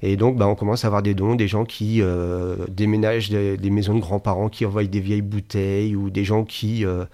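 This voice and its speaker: Hesitant French man, lowish voice. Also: little pitch movement, a little fast, hardly any pauses, mellow. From France, Angers. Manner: hesitant, many fillers and restarts. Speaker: museum founder, association member. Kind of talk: radio interview, spontaneous speech.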